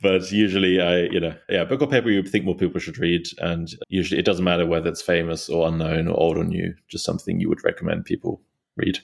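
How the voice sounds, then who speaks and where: A man's voice: low voice, fairly even intonation, fast talker, gravelly. A young man hosting a podcast, asking questions in a loose, casual way with many fillers. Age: young adult. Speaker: podcast host. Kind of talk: casual interview.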